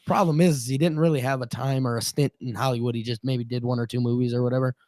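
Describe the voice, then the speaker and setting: Animated, swearing man in his twenties. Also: mid-range voice, quick pace, no room sound, studio quality. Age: 20s. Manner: animated, swears, repeats words. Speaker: co-host. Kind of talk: casual live-stream chat.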